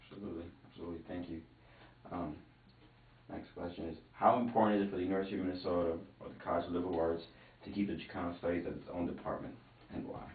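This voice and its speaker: A man's voice: deep voice, steady tone, very fast. A man interviewing a guest, reading out questions with brief affirmations. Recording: distant mic, echoey room, phone-like band.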